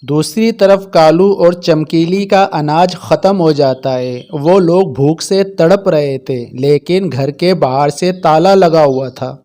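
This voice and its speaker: High-voiced man narrating a children's story. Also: a little slow, hardly any pauses, dark tone.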